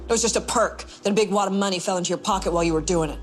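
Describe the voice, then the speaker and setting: An actress playing a principled, dry-witted police investigator, blunt and sardonic in a screen drama. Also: female voice, medium pitch, racing, lightly acted. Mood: bitter, accusing. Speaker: actor in a TV supernatural police drama. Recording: music under the dialogue.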